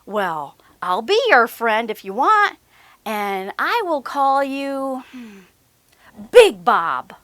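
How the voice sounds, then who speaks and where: An eager little storybook bunny boy, acted out by a woman, bold and earnest. The delivery is animated and swings widely in pitch, with an unhurried pace and a close, dry sound. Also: dry recording, full character voice. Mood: confident.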